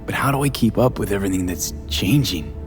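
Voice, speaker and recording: A man playing a weary, blunt mercenary in a video game, with a gravelly voice and terse, low-key delivery. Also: lively intonation, brisk, faint music behind, lightly acted. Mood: pensive. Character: weary, blunt male mercenary in a futuristic city.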